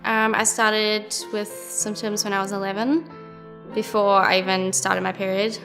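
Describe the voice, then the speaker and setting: A woman's voice: mid-range voice, fairly even intonation, measured pace, vocal fry, music in the background. A woman of twenty, speaking directly and matter-of-factly. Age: 20s. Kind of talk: personal testimony interview.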